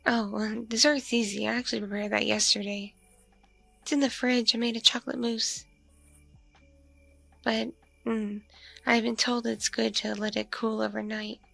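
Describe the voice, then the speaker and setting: A woman playing a mature, flirtatious, spacey female vampire in an ASMR roleplay, speaking softly and hesitantly, as if in a one-sided intimate conversation. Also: a little high, fairly even intonation, gravelly, no echo, soft background music. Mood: friendly, chatty. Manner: hesitant, murmuring, lingering pauses with ums and mms. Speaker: roleplay voice performer.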